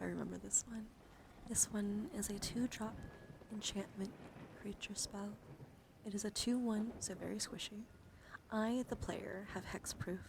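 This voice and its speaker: Whispering ASMR woman, creaky edge. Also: mid-range voice, background noise. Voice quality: mostly whispered, breathy. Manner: soothing, playfully encouraging asides. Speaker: livestream host. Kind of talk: whispered ASMR card reading with casual chat.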